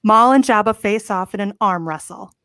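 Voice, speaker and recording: A woman narrating the stage directions of a comic table read in a straight-faced, clearly enunciated way. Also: medium pitch, moderate pace, fairly bright tone, no room sound, studio quality.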